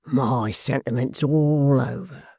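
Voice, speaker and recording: A man's voice: medium pitch, slow, vocal fry, darkish tone, dry recording, clean studio sound. A man reading a storybook aloud, voicing a wheezy, sly old male hangman. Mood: gleeful.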